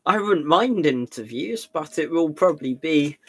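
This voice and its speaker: A man's voice: fairly high pitch, expressive, moderate pace, fairly bright tone. A tired man with a sore throat doing live esports race commentary, dry and wry between calls. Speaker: sim racing commentator.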